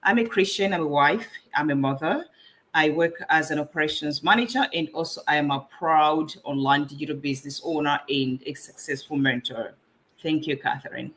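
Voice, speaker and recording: A woman from Malawi introduces herself on a live video call chat. She speaks in a measured way. Her voice sounds bright. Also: low-pitched, rough recording. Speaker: co-host.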